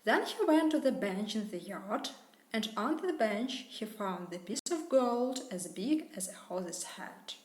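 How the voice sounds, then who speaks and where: Woman, English tutor reading a bedtime tale, slow and clear, echoey room. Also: mid-range voice, big pitch swings. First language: Russian. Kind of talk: reading a children's fairy tale aloud. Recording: roomy echo.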